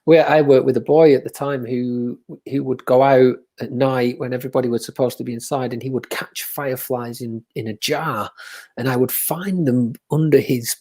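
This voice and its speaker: Man, educator, soft reflective chat, husky, breathy, video call. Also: medium pitch, fairly even intonation, normal speed. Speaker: educator and co-host.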